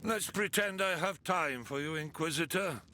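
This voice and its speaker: An actor playing a stern, weary military commander in a fantasy video game, curt, authoritative and sardonic. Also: male voice, fairly high pitch, moderate pace, bright, forceful tone, a little hiss or hum. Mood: stern, businesslike.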